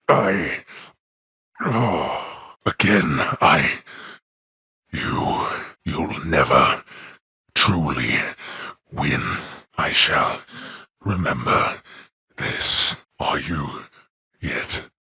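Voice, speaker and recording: A man playing a stern, imperious god of the underworld and disapproving father in a video game, with haughty, formal, deliberate delivery and a gravelly, husky voice. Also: lowish voice, pushed and bright, no room sound, studio quality, full character voice. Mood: pained, defeated. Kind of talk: theatrical video game voice acting.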